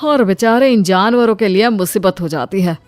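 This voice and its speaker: A woman's voice: medium pitch, moderate pace, a little mellow, close to an everyday voice. Resigned passer-by, played by a woman, sympathetic, adult, cartoon street scene, music behind. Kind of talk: cartoon moral story dialogue.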